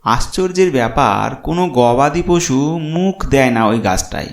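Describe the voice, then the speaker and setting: A man's voice: mid-range voice, animated, a little slow, slight background noise. Echoey male horror-story narrator. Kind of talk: scripted horror cartoon narration. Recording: roomy echo, clear music behind. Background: background music.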